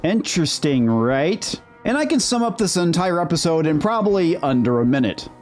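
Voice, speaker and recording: A man's voice: a little high, sing-song, moderate pace, darkish tone, faint music behind. A man delivering scripted critical commentary in a sarcastic, mocking manner. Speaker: video host, TV critic.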